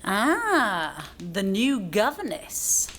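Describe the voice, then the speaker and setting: A woman's voice: mid-range voice, wildly swooping pitch, drawn-out words, fairly bright tone, slight background noise, full character voice. A woman playing a breezy, flirtatious village carter in an audio drama, teasing and jaunty.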